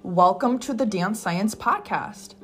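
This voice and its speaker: A woman's voice: mid-range voice, expressive, a little slow. A woman hosting a podcast who reads a scripted welcome and then questions a guest, answering her with brief affirmations. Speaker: podcast host. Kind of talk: podcast interview.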